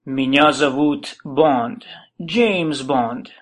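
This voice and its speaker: Male actor as a polite newcomer learning the language. Unhurried, careful delivery. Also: mid-range voice. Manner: slow, deliberate learner's speech.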